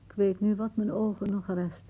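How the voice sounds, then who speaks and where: An actress playing a weary, bitter older aunt in a radio drama, musing theatrically. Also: female voice, mid-range voice, flat delivery, normal speed, slightly rough, close to an everyday voice. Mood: brooding, sardonic. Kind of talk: radio drama acting, monologue-like. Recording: muffled, narrow-band old radio recording.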